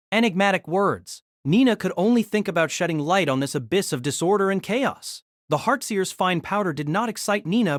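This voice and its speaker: A man narrates an audio drama from a script. His voice sits fairly high for a male, and the sound is close and dry. The delivery is dramatic storytelling. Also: no echo.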